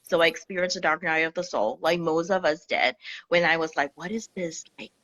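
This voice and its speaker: A woman from Singapore, a guest in an interview on a video call, telling her story in a lively way and acting out her past thoughts. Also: low voice, brisk, muffled recording. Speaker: guest, Akashic Records healer. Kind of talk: casual interview chat.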